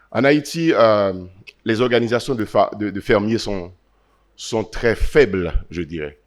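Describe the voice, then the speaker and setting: Low-voiced man with Haitian French accent. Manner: earnest, halting in English. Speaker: panelist. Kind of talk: panel discussion answer.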